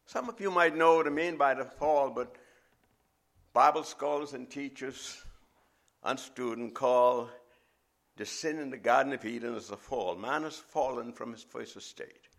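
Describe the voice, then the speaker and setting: A middle-aged man with a Bahamian accent, preaching a sermon as a visiting preacher in a measured, emphatic way. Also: medium pitch, gravelly, fairly bright tone.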